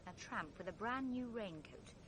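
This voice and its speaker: An actress playing a brisk, forthright young English heroine in a vintage radio drama. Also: female voice, mid-range voice, expressive, noisy background, close to an everyday voice. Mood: brisk, scolding. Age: young adult.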